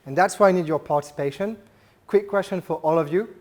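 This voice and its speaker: A man's voice: sits high, fast. French-accented man giving a business talk. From France. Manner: engaging, polls the audience with questions; conversational, frequent "uh" and "right?". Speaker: speaker at a business event. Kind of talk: conference talk to a live audience. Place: event venue with an audience.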